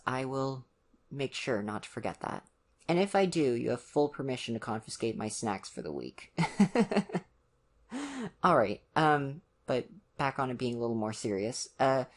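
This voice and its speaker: ASMR roleplay boyfriend, played by an actor: college-age, awkward and earnest, gentle, halting delivery, medium pitch. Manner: hesitant, gentle, awkward, many ums and pauses. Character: ditzy, awkward, earnest young college boyfriend, caring and nervous. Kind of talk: ASMR roleplay, one-sided conversation with the listener.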